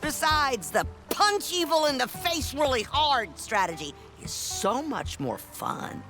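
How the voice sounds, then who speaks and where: An actress playing a brash, impatient fighter sidekick in a comic video game, blunt and sarcastic. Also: female voice, sits high, big pitch swings, unhurried, over music. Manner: sardonic, blunt.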